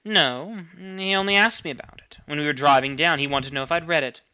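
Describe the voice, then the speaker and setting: A man's voice: a little high, fairly quick, sharp tone, smooth, no room sound, dull audio. A man voicing a cool young married daughter in an audiobook story, languid and clipped, patient but irritated. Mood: evenly patient. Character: cool, exasperated young married daughter, placating her mother.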